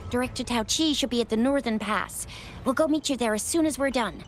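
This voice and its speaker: An actress playing a brisk, helpful young fighter in a video game. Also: female voice, fairly high pitch, a little hiss or hum, natural voice. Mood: concerned, brisk. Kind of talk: video game character dialogue.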